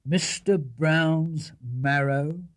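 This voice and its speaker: A British man playing a bemused policeman in a storybook, slow and incredulous as he humours someone. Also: on the high side. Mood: baffled.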